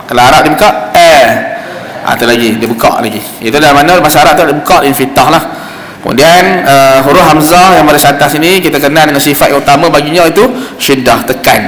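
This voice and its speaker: A man with a Malaysian Malay accent teaching Quran recitation in an informal, explanatory way, slowly demonstrating sounds as he goes. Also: fairly high pitch, a bit bright. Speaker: religious teacher giving Quran recitation class. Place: mosque hall. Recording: roomy echoing hall, faint background noise.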